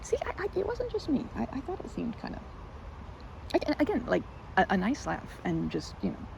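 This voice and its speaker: A woman's voice: on the high side, huge pitch swings, normal speed, gravelly, heavily acted. A woman playing a timid, sweet, awkward young woman in an ASMR roleplay, stammering and hesitant, with a giggly, flustered manner. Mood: chatty, animated. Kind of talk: ASMR roleplay, improvised-sounding one-sided conversation. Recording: muffled with loud outdoor ambience noise.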